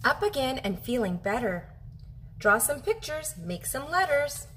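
Animated woman reading a picture book aloud. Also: high voice, background noise. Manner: animated, drawn-out phrasing with questions to young listeners. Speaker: teacher reading aloud to children. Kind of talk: expressive children's picture book read-aloud. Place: home kitchen, indoors.

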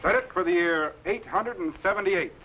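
A man's voice: sits high, normal speed, dull audio, lightly acted. A man playing a dry, authoritative explorer captain in a 1950s sci-fi series, speaking precisely. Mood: commanding. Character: wry, authoritative male space explorer captain. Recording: vintage film soundtrack, faint noise.

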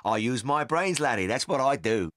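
Casting: male actor as middle-aged English used-car crook and bullying dad, scornful, sharp forceful tone, audio drama. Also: medium pitch, expressive, normal speed, no room sound, clean studio sound, close to an everyday voice. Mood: smug boasting. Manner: blustering, scornful, colloquial.

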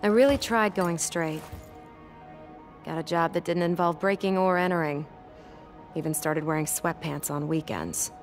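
Sly female thief actress, lowish voice, cool and teasing, guarded, video game cutscene over music. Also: normal speed, natural voice. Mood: guarded, wistful.

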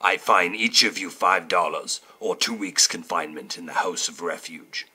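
A man's voice: deep voice, moderate pace, sharp tone, husky, heavily acted. A man playing a stern, mean old judge in a fan audio drama, curt and dismissive. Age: older.

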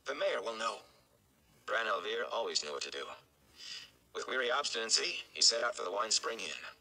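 A man's voice: on the low side, animated, fairly quick, gravelly, bright, forceful tone. A man, narrating a story from a script in a dramatic style. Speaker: audiobook-style reader. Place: small indoor room.

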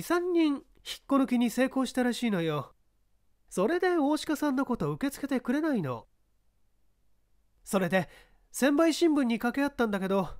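A woman's voice: high-pitched, darkish tone, voice pushed up. A woman voicing a pushy, calculating female baseball scout, insistent and needling, in a story reading. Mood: businesslike, needling. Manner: insistent, needling, feminine old-fashioned phrasing.

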